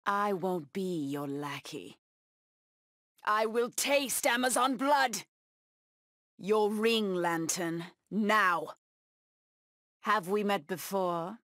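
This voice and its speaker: A woman's voice: medium pitch, very slow, long pauses, fairly bright tone, dry recording, studio quality. An actress voicing a proud, bloodthirsty cat-woman huntress villain in a video game, with menacing, taunting delivery. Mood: low, contemptuous, then fierce, bloodthirsty.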